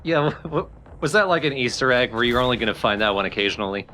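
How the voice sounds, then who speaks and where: A man's voice: mid-range voice, animated, quick pace, bright, forceful tone, slight background noise. A man giving casual, wry, conversational co-commentary on a video game. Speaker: let's play co-commentator.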